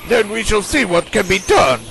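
A man's voice: high-pitched, big pitch swings, normal speed, gravelly, a bit bright, slight background noise, heavily acted. A man playing a blustering, scheming scientist villain in an amateur radio play, with a pompous, theatrical manner. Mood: grave, calculating.

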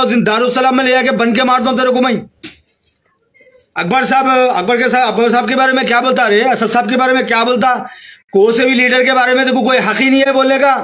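A man in his fifties speaks with a Hyderabadi accent in a very high-pitched voice. He delivers an agitated statement to camera, scolding and repeating himself as he rants. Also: fairly bright tone. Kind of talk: angry rant to camera. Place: small room indoors.

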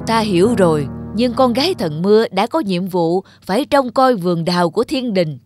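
A man's voice: sits high, expressive, normal speed, dry recording. Guy doing a stern old emperor of heaven, cartoon folk tale, bossy and formal, music under it. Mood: stern, measured. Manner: imperious, formal. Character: stern old male Jade Emperor, commanding heavenly ruler. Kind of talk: animated fairy tale acting.

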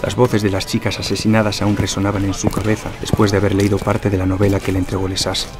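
A man's voice: on the low side, monotone, fast, over music. A man reading scripted narration for a crime fiction audio drama.

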